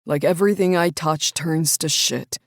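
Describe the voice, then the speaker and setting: Woman reading young male coach, subdued, regretful, quietly guilty. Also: sits low, normal speed, dry recording, studio quality. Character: troubled young adult man, a guilty football coach. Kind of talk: audiobook character voice.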